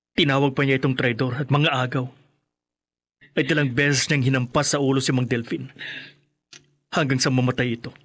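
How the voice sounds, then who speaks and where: An actor with a Filipino accent playing a frightened, remorseful younger brother, earnest and dramatic in a radio horror play. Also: male voice, medium pitch, fairly bright tone, lightly acted.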